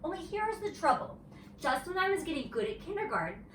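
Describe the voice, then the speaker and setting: A woman's voice: very high voice, sing-song, a little fast, fairly bright tone, pitched above the performer's own voice, heavily acted. A woman voicing a feisty, dramatic five-year-old girl telling a storybook tale with childish, emphatic indignation. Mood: chatty, emphatic. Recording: roomy, distant mic, faint noise.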